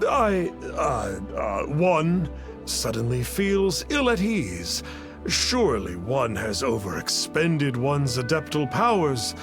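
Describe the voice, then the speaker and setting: Over music, a pompous, cowardly impostor in a video game. He speaks with stilted old-fashioned formality, slowly and with theatrical swoops in pitch. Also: sing-song. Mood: nervous bluffing. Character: boastful, cowardly, pompous male impostor of an adeptus. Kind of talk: video game character acting. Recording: music behind.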